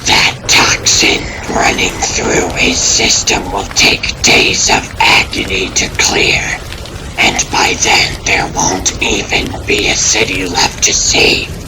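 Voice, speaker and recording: A man voicing a cruel, taunting young villainess in a comic dub, sneering in a breathy, gravelly whisper. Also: low-pitched, fairly even intonation, slow pace. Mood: menacing. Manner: sneering, contemptuous. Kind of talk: fan comic dub acting. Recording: clear noise behind voice.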